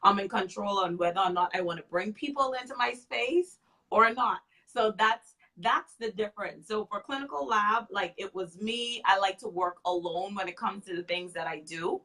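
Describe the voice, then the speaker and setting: A woman's voice: medium pitch, moderate pace, bright, forceful tone, rough recording. A woman in her thirties, a makeup artist and guest, speaking candidly in a casual interview and often repeating short phrases. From Freeport.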